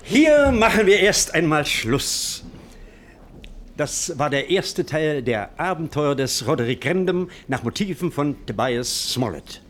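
Lively radio play master of ceremonies, fairly high voice, slight noise behind. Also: male voice, slow. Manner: lively, announcing. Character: genial showman master of ceremonies.

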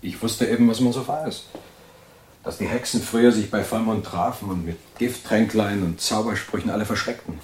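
Radio drama casting: low-voiced male asylum inmate, played by an actor, uneasy, confiding, halting as he remembers. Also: slight background noise, close to an everyday voice. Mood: dismissive. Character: uneasy male asylum inmate recounting his life story. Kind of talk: German radio drama monologue.

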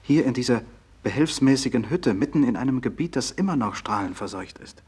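An actor in a 1960s radio drama playing a serious, respectful doctor, with a low husky voice and a measured delivery. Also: male voice, animated, darkish tone, no echo. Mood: concerned, incredulous. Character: concerned, respectful male doctor and visitor, also recounting events solemnly.

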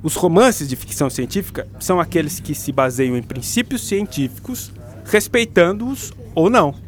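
An actor playing a patient, enthusiastic school teacher with a Brazilian accent, lecturing in a radio drama. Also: male voice, on the high side, lively intonation, normal speed, a little hiss or hum, lightly acted. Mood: explaining. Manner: didactic, explanatory.

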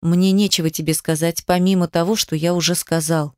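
A woman's voice: fairly low pitch, fairly even intonation, brisk, a little mellow, clean tone, dry recording, clean studio sound, close to an everyday voice. A woman voicing a controlled, irritable, domineering man in an audiobook drama, terse and restrained. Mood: terse, hard.

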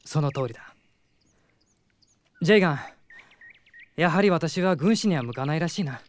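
An actor playing a calm, courteous young male prince in a Japanese radio drama, measured and dignified. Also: medium pitch, dry recording, lightly acted. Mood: calm, self-effacing. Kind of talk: Japanese anime-style radio drama acting.

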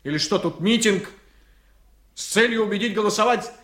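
A man's voice: high-pitched, normal speed, a bit bright. An actor playing a combative, indignant male lawyer in a radio play, objecting in a sarcastic, oratorical way. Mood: sarcastic protest. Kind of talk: Soviet radio play acting. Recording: roomy, echoing radio drama recording.

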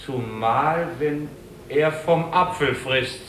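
Casting: ponderous learned doctor, very drawn-out pedantic delivery, roomy vintage radio drama. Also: male voice, a little high, background noise. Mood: pedantic, solemn. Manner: ponderous, pedantic reading aloud. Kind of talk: 1950s German radio play acting. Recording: roomy old radio crowd scene.

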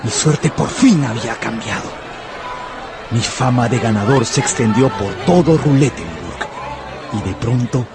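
Fervent gambler, animated radio-drama voice. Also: male voice, fairly low pitch. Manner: dramatic, fervent, literary storytelling. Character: passionate male gambler recounting his obsessive love and luck. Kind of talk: radio drama narration and dialogue.

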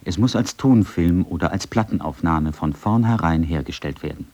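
A man with a low, dark voice reads first-person testimony and quoted speeches. The tone is mellow, in the manner of a scripted radio feature. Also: moderate pace.

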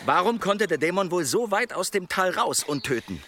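A man's voice: high voice, sing-song, moderate pace, fairly bright tone, a little hiss or hum. A man playing a boastful, pious and grumbling one-armed demon hunter in an audio drama, with a theatrical, wry delivery.

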